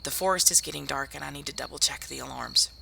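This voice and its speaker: A woman playing a tough, wry young survivor in a zombie apocalypse ASMR roleplay, speaking softly and intimately to one listener. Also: very deep voice, quick pace, vocal fry, background noise, full character voice. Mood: calm, guarded. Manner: soft-spoken, intimate, addressing one listener. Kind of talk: ASMR roleplay audio drama.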